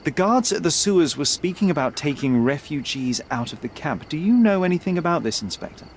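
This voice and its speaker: An actor playing a young detective in a game, articulate, precise and self-assured. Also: male voice, fairly high pitch, lively intonation, a little fast, slight background noise, close to an everyday voice. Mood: confident, probing. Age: young adult. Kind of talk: dramatic game cutscene dialogue. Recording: faint night ambience behind.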